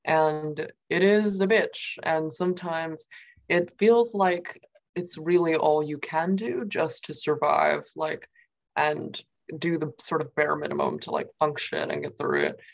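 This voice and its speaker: Young New York woman, gravelly voice, rambling interviewee, slightly dull lo-fi sound. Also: deep voice, animated, measured pace. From New York, USA. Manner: rambling, many fillers and "like". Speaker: guest poet. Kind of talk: casual interview.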